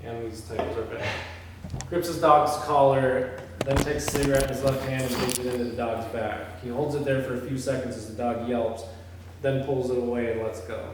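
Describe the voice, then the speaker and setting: A man reads stage directions aloud and breaks off into casual discussion. His voice sits at a middle pitch and comes from some distance in a very echoey room. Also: fairly even intonation, brisk. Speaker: filmmaker reading stage directions at a table read. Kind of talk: script read-aloud mixed with casual discussion. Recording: echoey room, distant mic, faint noise.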